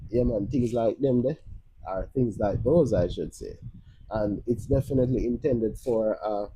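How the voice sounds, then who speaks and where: A man's voice: mid-range voice, normal speed, dark tone, a little hiss or hum, poor audio. A man with a Jamaican accent giving casual, rambling advice on a live stream, often restarting and filling with "uh" and "you know". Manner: rambling, many restarts and fillers. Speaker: livestream host.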